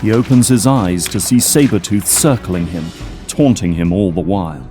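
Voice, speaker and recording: Lowish-voiced male narrator over music. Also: moderate pace, mellow. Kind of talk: dramatic scripted narration. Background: dramatic music.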